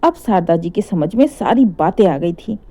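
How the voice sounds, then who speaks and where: A woman's voice: on the low side, animated, normal speed, warm tone, slightly rough. A woman narrating a scripted emotional family story as a dramatic storyteller, in a slightly dull recording.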